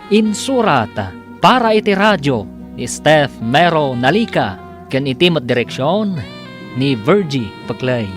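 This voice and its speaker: A man announcing a radio drama serial in a dramatic, scripted narrating style. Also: on the high side. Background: music.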